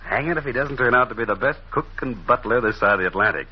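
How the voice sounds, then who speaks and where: An actor playing a charming, smitten American gentleman in an old-time radio drama, expressive and intimate. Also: mid-range voice, fast, breathy edge, natural voice. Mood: delighted, tender. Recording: muffled, noisy old radio recording.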